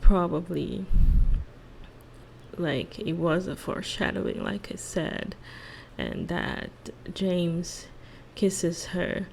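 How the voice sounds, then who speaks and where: A woman speaking softly in an ASMR monologue, hushed and halting, lingering on phrases and at times slipping into a whisper. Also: lowish voice, vocal fry, slight background noise, poor audio. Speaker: ASMR creator and host. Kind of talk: soft-spoken ASMR role-play monologue with song analysis.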